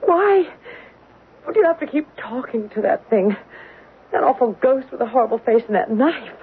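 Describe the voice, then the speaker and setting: A jittery young wife haunted by a ghost in a 1970s radio play. Her high, breathy voice swings widely as she frets. The broadcast sound is narrow and thin. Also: lively intonation, normal speed. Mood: agitated. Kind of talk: 1970s radio drama acting.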